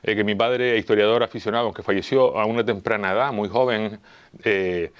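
A fifty-year-old man with a Canarian Spanish accent, explaining things as an interview guest, haltingly, searching for words and repeating phrases. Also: on the low side, steady tone, smooth, dull audio. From Las Palmas, Canary Islands. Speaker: interview guest, local police officer and heritage enthusiast. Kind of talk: interview answers, explanatory talk.

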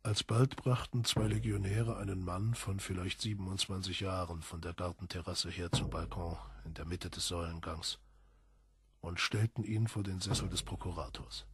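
A male audiobook narrator with a deep, very husky voice. He reads literary prose in a solemn, measured way with dramatic emphasis. The recording is dry, with no room sound. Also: no echo.

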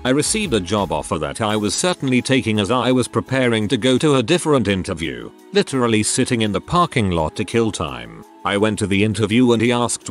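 A man narrating online posts in an even, low-key reading voice. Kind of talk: scripted narration.

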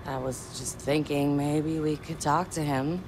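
A woman's voice: low voice, fairly even intonation, a little slow. A young woman playing a brash, defiant hacker activist in a game cutscene, blunt and determined. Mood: scheming, scornful. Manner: blunt, foul-mouthed, determined. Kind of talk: video game cutscene acting. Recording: noisy ambient game scene.

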